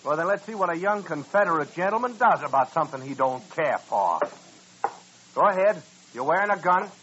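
An actor playing a gruff, impatient rancher in a radio western, blustering and belittling as he talks. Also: on the high side, lively intonation, brisk, background noise, lightly acted. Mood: irritated, goading. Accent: American. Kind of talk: 1950s radio western acting. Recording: dull, lo-fi old radio recording.

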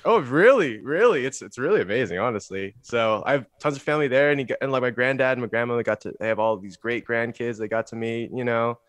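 A man's voice: mid-range voice, quick pace, hardly any pauses, dry recording. Rambly young man from the Bay Area. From San Francisco Bay Area, USA. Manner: rambling, full of "like" and "you know". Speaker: guest musician. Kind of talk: casual podcast chat.

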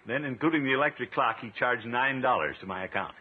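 A man's voice: medium pitch, expressive, fast talker, husky. An actor playing a pompous, flustered, easily exasperated middle-aged man with blustery exclamations in a 1950s radio comedy. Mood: grumbling, brooding. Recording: tinny, noisy old radio recording.

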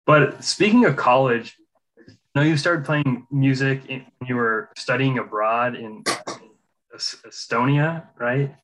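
A man's voice: mid-range voice, unhurried, a bit bright, poor audio. A man interviewing a guest, chatting casually and asking curious follow-up questions.

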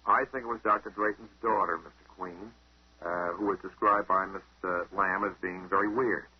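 A man with a fairly low, husky voice gives halting answers as a guest sleuth. The sound is that of a muffled old-time radio broadcast. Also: steady tone. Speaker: guest armchair detective. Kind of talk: hesitant answering on radio show.

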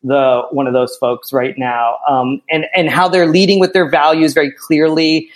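An American man speaking thoughtfully as an interview guest, often checking in with a quick "right?". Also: fairly high pitch, steady tone. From small town in Pennsylvania, United States. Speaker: interview guest, theatre artistic director. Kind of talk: conversational interview.

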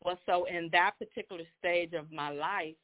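A woman's voice: lowish voice, steady tone. Laughing woman on a tinny phone line. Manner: laughs often, halting with many ums. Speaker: guest singer. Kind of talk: casual phone interview.

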